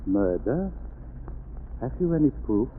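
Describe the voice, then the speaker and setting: An actor playing a calm, quietly menacing sanitarium official in a 1930s radio drama. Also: male voice, mid-range voice, measured pace, husky. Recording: tinny old radio broadcast.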